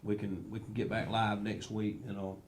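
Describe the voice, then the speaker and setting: A man's voice: fairly low pitch, little pitch movement, normal speed, a little hiss or hum. A man with a Southern US accent hosting a casual chat in a folksy, affirming manner. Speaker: host.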